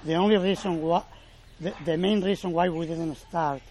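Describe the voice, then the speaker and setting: Man, halting explanations outdoors. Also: a little high, fairly even intonation, a little mellow, a little hiss or hum. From Spain. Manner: halting, self-correcting, explanatory. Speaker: college representative. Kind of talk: informal Q&A presentation.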